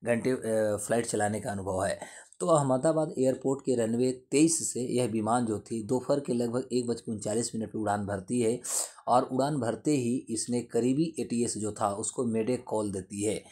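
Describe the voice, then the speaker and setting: A man's voice: medium pitch, normal speed, mellow, slightly rough. A man giving an explanatory lesson in a didactic way, repeating and rephrasing his points. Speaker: teacher explaining current affairs.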